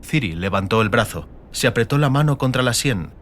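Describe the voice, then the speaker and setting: A man's voice: mid-range voice, animated, fairly quick, a bit bright, a little hiss or hum. A man reading scripted, dramatic fantasy narration as an audiobook narrator.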